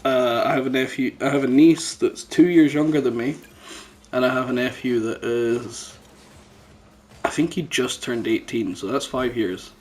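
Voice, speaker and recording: A man's voice: medium pitch, moderate pace, darkish tone. A man chatting casually as a podcast host on a headset, telling jokey, sweary anecdotes. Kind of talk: casual chat. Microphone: headset microphone.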